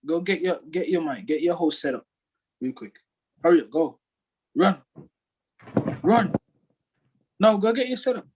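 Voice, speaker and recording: A young man chatting casually in a slightly squeaky, husky voice, animated and slangy, often repeating himself. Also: moderate pace, long pauses, noisy background. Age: young adult. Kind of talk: casual chat.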